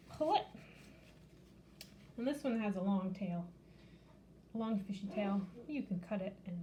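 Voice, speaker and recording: A woman's voice: medium pitch, mellow, slight background noise, rough recording. A woman hosting a children's storytime, reading aloud and explaining in simple, child-directed language. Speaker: library storytime host. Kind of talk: picture-book reading and craft demonstration.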